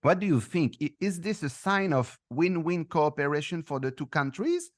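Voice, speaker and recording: A man hosting a podcast, asking measured interview questions with occasional fillers. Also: fairly high pitch, very animated. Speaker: podcast host.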